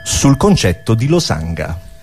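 A man performing scripted absurdist theatre with a mock-solemn, deliberate, declamatory delivery. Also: on the low side, very animated, music in the background. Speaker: stage performer.

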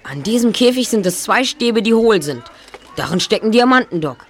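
A woman voicing a clever teenage boy detective in a radio play, calm and explaining. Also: mid-range voice, moderate pace, slight background noise, lightly acted. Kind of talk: amateur radio play acting.

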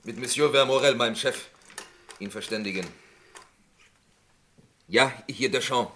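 An actor playing an accused lab worker in a vintage radio crime drama, formal, hesitant and protesting. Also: male voice, medium pitch, unhurried, pushed and bright, slight background noise. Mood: indignant, shaken. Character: accused male lab worker, defensive and evasive. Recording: roomy old radio play recording.

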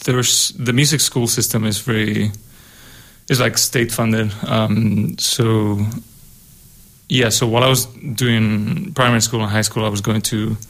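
A young man from Spain with a Spanish accent, a composer talking casually on a radio show in a studio. He pauses often with ums. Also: fairly low pitch, steady tone, fairly bright tone, rough recording. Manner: hesitant, many ums. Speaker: guest composer, co-host.

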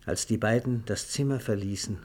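A man narrating a literary radio drama in a measured, matter-of-fact manner. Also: fairly low pitch, darkish tone.

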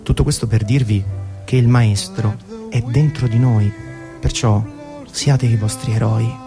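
A man's voice: mid-range voice, steady tone, measured pace. A man reading a scripted narration as a radio presenter, with dramatic, literary storyteller phrasing. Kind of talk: scripted storytelling narration, guided music programme. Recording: narration over prominent background music. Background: music.

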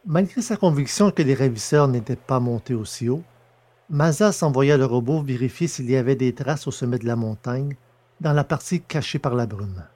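A man reading the narration of a science-fiction story in a measured way. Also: mid-range voice, warm tone. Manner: measured, descriptive. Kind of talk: scripted narration.

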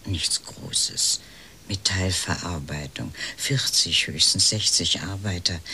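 An actress playing a polite, hesitant elderly lady, a detective's client in a radio crime drama, speaking in measured, breathy phrases. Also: extremely low pitch, pushed and bright, heavily acted. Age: 60s or older.

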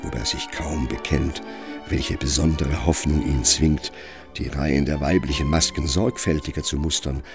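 Male narrator for literary drama: very low, creaky voice, grave measured reading, music underneath. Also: fairly even intonation.

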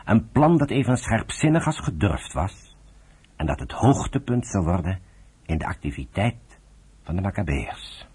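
A man narrating a radio drama in a scripted, dramatic style with a husky, rough voice. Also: low-pitched, sing-song, moderate pace, a little mellow.

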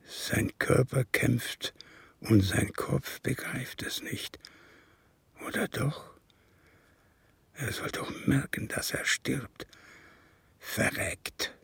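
An actor playing an embittered, unrepentant older communist in a radio drama, husky and gravelly, speaking slowly, deliberately and formally. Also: male voice, mid-range voice, steady tone, fairly bright tone, no room sound, whispered, exaggerated voice. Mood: grim, haunted. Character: embittered old communist comrade confessing, resolute and unrepentant. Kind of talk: radio drama monologue and dialogue.

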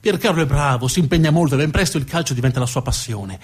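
A man's voice: mid-range voice, fast, husky. A man performing a theatrical narrative monologue, dramatic and emphatic, building on repeated phrases. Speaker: actor and monologue storyteller.

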